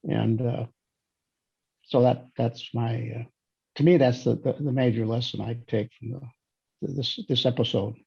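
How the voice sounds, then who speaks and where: A slightly lo-fi recording of a man with a gravelly, rough-edged voice. He contributes to an informal scripture discussion, often stopping to search for the next word. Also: mid-range voice, fairly even intonation, darkish tone. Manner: halting, searching for words. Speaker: Bible study participant. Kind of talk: casual group discussion.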